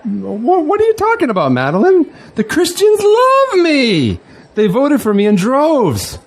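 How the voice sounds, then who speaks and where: An actor playing an exuberant, grandiose newly elected politician in an audio drama, with an excitable, speechifying manner. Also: male voice, very high-pitched, measured pace, exaggerated voice. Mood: flustered, dismissive.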